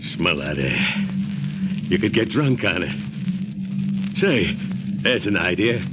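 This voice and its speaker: A man's voice: deep voice, very animated, takes it slowly, over loud music, heavily acted. A man playing a rowdy, drink-hungry spaceship crewman who grudgingly obeys, in 1950s radio drama style on a very noisy vintage broadcast. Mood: eager.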